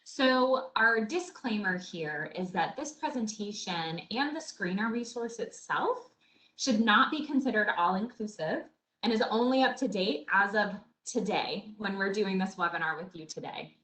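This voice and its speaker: A woman's voice: mid-range voice, animated, unhurried, fairly bright tone. A woman presenting a webinar, with small stumbles and self-corrections. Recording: echoey room.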